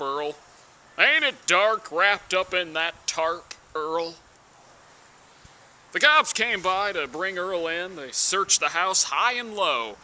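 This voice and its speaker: Young man, theatrical dramatic reading, very sharp bright voice, deliberate pauses, slightly noisy outdoor recording. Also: fairly high pitch. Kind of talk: mock-serious dramatic reading of song lyrics. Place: outdoors in snowy woods.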